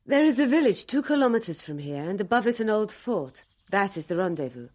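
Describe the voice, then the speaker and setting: A woman's voice: mid-range voice, sing-song, brisk, darkish tone. A woman playing a cool, formal aide to guerrillas in a radio drama, speaking. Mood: matter-of-fact. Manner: formal, composed. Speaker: actor playing a guerrilla's go-between.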